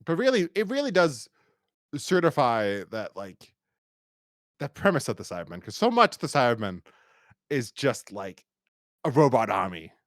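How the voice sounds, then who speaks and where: A man hosting a podcast in a casual review chat, rambling and halting with repeated false starts. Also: mid-range voice, wildly swooping pitch, no room sound, clean studio sound. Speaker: podcast host.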